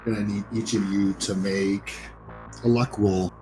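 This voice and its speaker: Low-voiced man running a horror game over music. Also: level tone, unhurried. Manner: conversational, descriptive storytelling. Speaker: game master. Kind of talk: improvised narration of a horror tabletop roleplaying game. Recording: music behind the voice.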